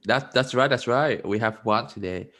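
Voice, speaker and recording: A man's voice: mid-range voice, measured pace, noisy background. A man hosting a podcast in an upbeat, casual way, often saying "you know". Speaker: podcast host.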